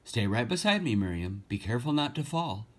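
A man voicing a worried, protective mother in a storybook, speaking in a hushed, earnest way. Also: mid-range voice, big pitch swings, a little fast. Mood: urgent.

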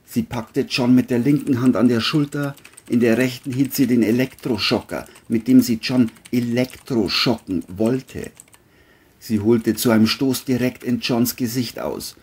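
A woman reading scripted narration for a crime audiobook in a husky voice. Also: extremely low pitch, measured pace, darkish tone.

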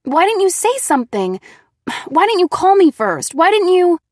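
A woman's voice: high-pitched, animated, measured pace, a little mellow, husky, no echo, studio quality, voice pushed up, exaggerated voice. A woman voicing an easily frightened, indignant teenage girl in emphatic audiobook dialogue.

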